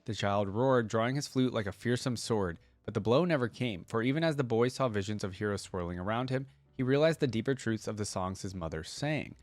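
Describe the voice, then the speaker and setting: A man's voice: on the low side, expressive, a little fast, hardly any pauses, dry recording. A man hosting a reaction video, speaking in a casual way as he comments and reads aloud.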